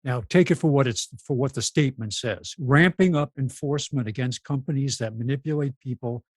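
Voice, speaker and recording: A male panelist talks casually, explaining and sharing anecdotes. His voice sits at a medium pitch, and the recording is dry with barely any room sound. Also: a little fast, no room sound. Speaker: talk show panelist.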